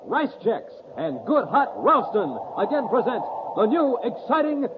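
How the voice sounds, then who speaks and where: An American man announces in a showy fifties radio style. His voice is high and animated, with emphatic delivery. The old recording is dull and very noisy. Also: measured pace. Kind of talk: 1950s radio credits and promotion.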